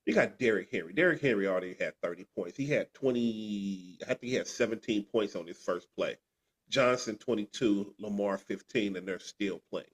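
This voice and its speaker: Sick podcast co-host, congested, lowish voice, rambling recap. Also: male voice, moderate pace. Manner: rambling, restarts and "uh" fillers. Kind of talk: fantasy football recap chat.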